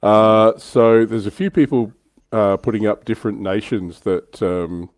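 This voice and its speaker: A man's voice: fairly low pitch, steady tone, measured pace, darkish tone, dry recording. A man hosting a livestream, chatting informally through a casual hobby discussion. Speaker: livestream host.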